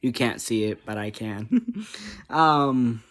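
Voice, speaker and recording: An online creator chatting casually to viewers in a rambling, easily distracted way, energetic, giggly and self-deprecating. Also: mid-range voice, slow pace.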